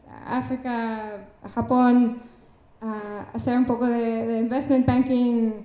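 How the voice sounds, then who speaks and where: A woman's voice: a little high, warm tone. A young Canadian woman from Canada, speaking Spanish with an English accent as a startup founder on an event stage, hesitant and full of fillers. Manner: hesitant, many fillers, laughs between phrases. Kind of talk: onstage interview. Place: event stage before an audience. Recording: echoey room.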